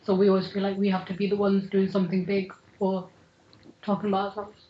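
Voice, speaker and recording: A woman's voice: mid-range voice, monotone, fast. A woman, talking earnestly in a casual podcast discussion, often saying "like". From England (Manchester). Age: 20s. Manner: earnest, frequent "like" fillers. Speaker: podcast participant. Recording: roomy, dull lo-fi recording.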